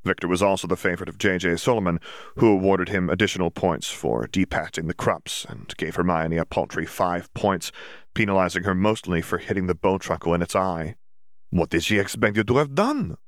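A man's voice: low-pitched, steady tone, a little fast, dry recording, studio quality. A man reading a fantasy story aloud as scripted audiobook narration.

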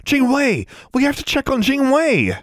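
A headstrong schoolgirl from an audiobook, acted out by a man. The voice is pitched well up and swings lively through each phrase. It sounds close and dry. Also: normal speed, no room sound. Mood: alarmed. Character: bold, scolding, worried schoolgirl with a pet raven.

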